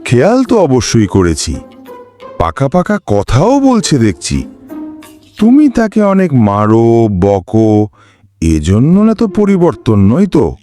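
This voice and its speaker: A man's voice: mid-range voice, huge pitch swings, takes it slowly, long pauses, warm tone, soft background music. A man voicing a puzzled father of a young boy in a cartoon. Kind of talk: cartoon dialogue.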